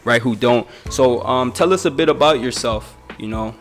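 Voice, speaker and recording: A young man hosting a podcast in a relaxed, casual way, with frequent "um" and "right?". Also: mid-range voice, moderate pace, faint music behind. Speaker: podcast host. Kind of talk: casual interview chat.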